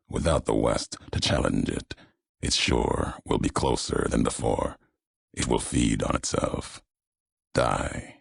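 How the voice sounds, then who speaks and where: An actor playing a solemn, embittered wasteland wanderer in a video game, speaking gravely in clipped, cryptic phrases with a gravelly voice. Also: male voice, heavily acted. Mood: grim, condemning. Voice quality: very deep, gravelly. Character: brooding, embittered wasteland courier, solemn and vengeful man. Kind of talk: dramatic video game monologue. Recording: heavily echoing, roomy sound.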